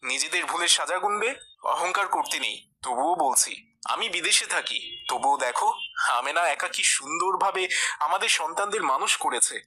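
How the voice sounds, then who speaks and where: A man's voice: fairly high pitch, lively intonation, moderate pace, bright, forceful tone, exaggerated voice. A man voicing a pious, earnest village uncle in a cartoon. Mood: admonishing.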